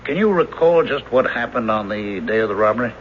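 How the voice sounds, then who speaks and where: An actor in a radio drama playing a skeptical police lieutenant, questioning in a measured, probing manner. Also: male voice, fairly low pitch, expressive, natural voice. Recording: dull hissy old radio recording.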